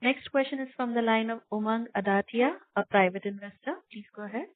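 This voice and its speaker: An operator briskly introduces each caller. Her voice is fairly high. It comes through a dry, narrow phone line. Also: no echo. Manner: brisk, formal announcements. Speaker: conference call operator. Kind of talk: call moderation.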